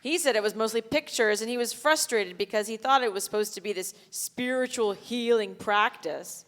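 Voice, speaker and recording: A woman's voice: fairly high pitch, normal speed, fairly bright tone, close to an everyday voice. A woman playing a brash, crude, flirtatious bar regular in a live staged play reading, blunt and casual in manner. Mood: chatty. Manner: foul-mouthed, casual and blunt. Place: theatre stage before a live audience. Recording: reverberant theatre hall, live stage.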